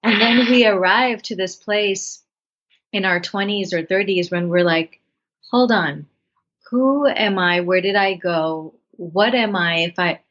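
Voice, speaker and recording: A woman's voice: on the low side, fairly bright tone. A woman in her thirties from Bangladesh, a writer and actress guest talking thoughtfully and earnestly in an interview. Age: 30s. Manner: thoughtful, earnest, with frequent restarts. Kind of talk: reflective interview talk. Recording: dull lo-fi sound.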